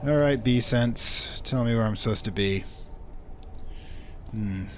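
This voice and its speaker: A man's voice: mid-range voice, slow pace, a little hiss or hum. A man giving casual, wry let's play commentary as host, chatty and given to muttered asides.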